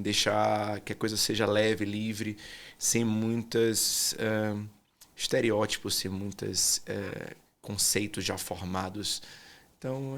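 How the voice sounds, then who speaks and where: Man, Bahian accent, lowish pitch, soft-spoken, reflective, pauses and fillers, interview guest. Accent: Bahian Portuguese. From Salvador, Bahia, Brazil. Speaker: guest artist.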